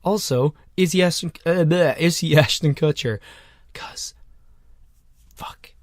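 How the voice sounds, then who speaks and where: A man's voice: fairly high pitch, a little slow, no echo, studio quality. Profane joking young man in a closet. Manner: profane, joking, animated riffing. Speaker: podcast co-host. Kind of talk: casual comedic chat. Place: small closet.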